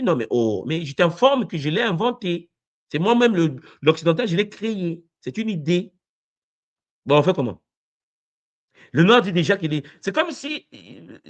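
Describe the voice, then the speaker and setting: Man, university lecturer, raised voice, very lively pitch, pressing questions. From Congo. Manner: animated, pressing rhetorical questions. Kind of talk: debate-style discussion.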